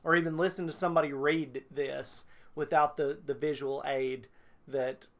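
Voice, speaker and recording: A man's voice: fairly high pitch, fairly even intonation, moderate pace. A man hosting a reaction video, talking casually with profanity and exasperated hyperbole.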